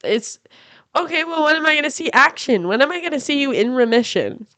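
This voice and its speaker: A woman's voice: high-pitched, lively intonation, fairly quick, fairly bright tone, no room sound. A woman guesting on a podcast, improvising comedy scenes with brash, crude jokes. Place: house.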